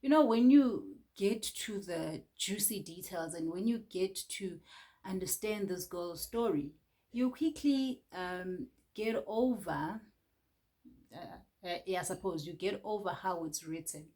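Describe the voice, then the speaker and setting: A woman's voice: fairly low pitch, expressive, slow, dark tone. A Nigerian woman reviewing a book in a casual chat, hesitant, with many fillers and restarts.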